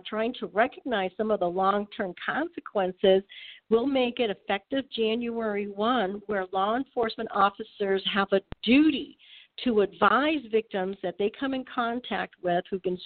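Woman guest expert, radio interview, earnest and measured, phone-thin sound. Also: mid-range voice. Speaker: guest expert on domestic violence. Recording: phone-like narrow band.

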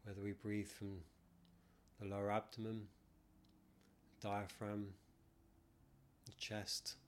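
A man leading a guided meditation as a teacher, calm and measured, leaving long pauses. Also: lowish voice, slight background noise. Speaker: meditation teacher, podcast guest. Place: non-soundproofed room.

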